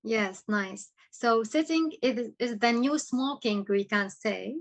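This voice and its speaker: A woman hosting an interview, putting questions with somewhat halting phrasing and giving short affirmations. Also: on the high side. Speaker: show host, interviewer.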